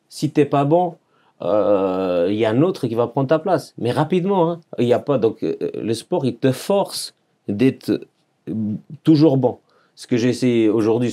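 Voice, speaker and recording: A man's voice: mid-range voice, mellow. A man in his forties from Serbia with a Serbian accent, telling his life story as a podcast guest in earnest French, searching for words and repeating phrases. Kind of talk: personal storytelling in an interview.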